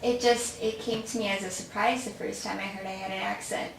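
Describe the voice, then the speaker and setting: A woman's voice: medium pitch, steady tone, brisk, slight background noise. A woman with a slight Romanian accent, Romanian her first language, telling her family story as an interview guest with frequent laughter. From born in Australia, raised in Chicago. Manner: warm storytelling, laughs often. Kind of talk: casual interview conversation. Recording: echoey room, mic at a distance.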